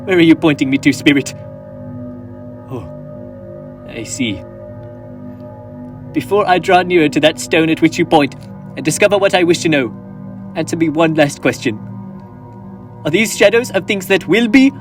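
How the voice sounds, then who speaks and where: A man's voice: a little high, expressive, normal speed, long pauses, natural voice. A teenage boy acting an old male miser on a school stage, pleading earnestly and theatrically. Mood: dread. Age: teens. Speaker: stage actor. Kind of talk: high school stage play. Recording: guitar music behind.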